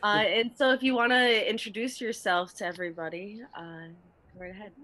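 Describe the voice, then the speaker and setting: Woman co-host, upbeat and welcoming; bright, forceful tone. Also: medium pitch, fairly even intonation, fairly quick. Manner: enthusiastic, welcoming. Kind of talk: upbeat interview introduction.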